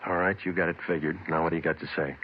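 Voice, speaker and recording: An actor playing a terse, unflappable police detective in an old radio drama, deadpan and clipped. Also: male voice, low-pitched, very fast, slight background noise, lightly acted. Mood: stern. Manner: deadpan, clipped, matter-of-fact. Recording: dull old radio recording.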